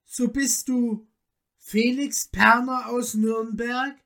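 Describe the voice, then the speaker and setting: Woman playing a gracious, high-voiced countess, slow-spoken. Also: steady tone. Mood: startled, moved. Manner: courtly, old-fashioned, solemn diction. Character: gracious noble countess, deeply moved and grateful.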